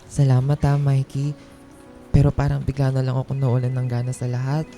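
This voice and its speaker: Actress as wounded office employee, low mellow female voice, deferential, Filipino accent, radio drama. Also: monotone, brisk, faint music behind. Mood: weary, discouraged. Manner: deferential, pleading. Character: earnest, put-upon young office employee, polite and wounded.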